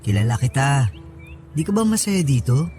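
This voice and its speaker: A man's voice: mid-range voice, sing-song, moderate pace, music in the background, close to an everyday voice. A man playing an affectionate young husband in a radio drama, talking in a casual, coaxing, reassuring way. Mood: concerned.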